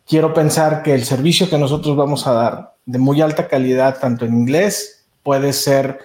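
Mellow-voiced man answering interview questions. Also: medium pitch, steady tone, moderate pace, echoey room. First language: Spanish. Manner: thoughtful, with frequent restarts and fillers. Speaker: guest, media business owner.

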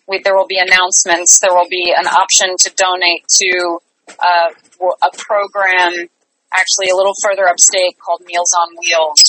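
A woman's voice: on the low side, pushed and bright, a little hiss or hum, rough recording. A woman speaking as a guest in a conversational interview, earnest and emphatic in the way she talks. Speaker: interview guest, theatre artistic director.

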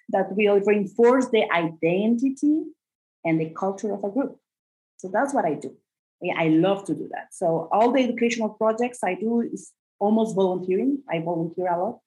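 A woman from Costa Rica speaking as an interview guest, earnest and animated, often adding "right?". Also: medium pitch, rough recording. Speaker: guest, bassoonist and arts educator. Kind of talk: conversational interview.

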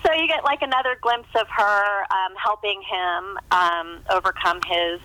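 A woman's voice: mid-range voice, unhurried, very noisy. A middle-aged American woman chatting casually and enthusiastically as a novelist in an interview, in a rambling way over a phone line.

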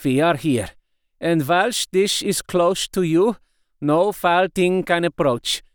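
A man voicing a solemn, reassuring professor in a gothic audiobook, measured and in command. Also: mid-range voice, darkish tone, dry recording, studio quality, natural voice. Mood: calm reassurance. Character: calm, commanding male professor, reassuring vampire expert.

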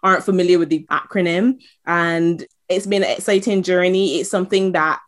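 Barbadian woman, lower register, conversational guest, relaxed anecdotal delivery. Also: moderate pace. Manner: warm, storytelling, with fillers. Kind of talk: conversational interview.

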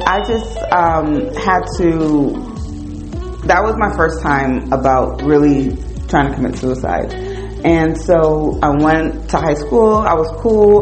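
A woman's voice: low voice, poor audio. A woman in her forties from New Jersey, hosting a podcast and telling a personal story in a candid, conversational way with lots of fillers. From New Jersey, United States. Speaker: podcast host. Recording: music playing behind the voice.

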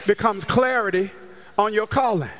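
An American man preaching a sermon with an emphatic, repetitive, call-and-response cadence, often rising into shouts. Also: sits high, normal speed, noisy background. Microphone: handheld or stage microphone. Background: music under the voice.

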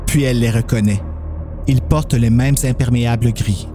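A man's voice: mid-range voice, steady tone, normal speed. Man narrating a story over music. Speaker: podcast host and narrator.

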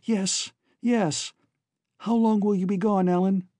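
A man's voice: high-pitched, fairly even intonation, slow, mellow, dry recording, clean studio sound, voice pushed up. A man voicing an anxious, devoted young woman with a pleading, eager manner in an audiobook. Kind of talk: dramatized audiobook dialogue.

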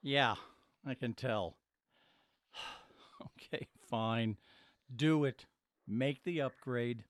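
An actor playing an exasperated, sarcastic son calling customer service in a comedic audio drama. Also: male voice, medium pitch, extremely slow, long pauses, dry recording. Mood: resigned sarcasm. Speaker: caller.

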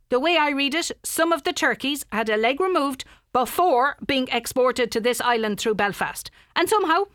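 Indignant, high-voiced Irish radio presenter. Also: female voice, dry recording, studio quality. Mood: grave, earnest. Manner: forceful, accusing, earnest. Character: indignant, confrontational female local radio presenter. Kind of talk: radio comedy play acting, current affairs interview.

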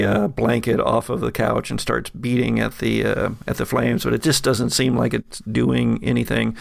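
A man's voice: mid-range voice, creaky, dry recording. A man acting as game master, improvising narration for a tabletop horror game.

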